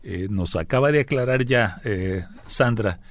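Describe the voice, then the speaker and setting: A man's voice: fairly low pitch, animated, measured pace, no room sound. A man interviewing a poet on the radio, polite and hesitant, with frequent filler sounds.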